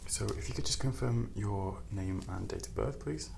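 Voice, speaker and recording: A man speaks softly and gently as a doctor in an ASMR medical roleplay, reassuring and unhurried, at times dropping toward a whisper. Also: on the low side, creaky, a little hiss or hum. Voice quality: soft, hushed, at times whispered. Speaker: ASMR performer playing a doctor.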